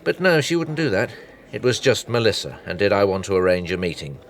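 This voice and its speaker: British private detective: low voice, very animated intonation, wry storyteller, radio play. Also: male voice, fairly quick. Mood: matter-of-fact. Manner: wry, anecdotal. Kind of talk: naturalistic radio drama acting.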